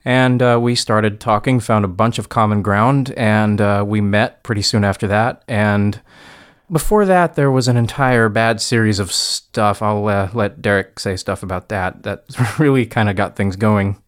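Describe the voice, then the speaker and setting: A man's voice: on the low side, unhurried, smooth. A man in a casual podcast conversation, chatty and self-deprecating, laughing often as he tells a story. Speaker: podcast co-host.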